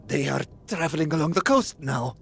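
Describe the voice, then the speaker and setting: Nervous, pleading underling. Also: male voice, fairly high pitch, animated, normal speed, creaky, fairly bright tone, a little hiss or hum. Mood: fearful. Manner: pleading, anxious. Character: nervous, cowed underling pleading with his general. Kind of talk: video game cutscene acting.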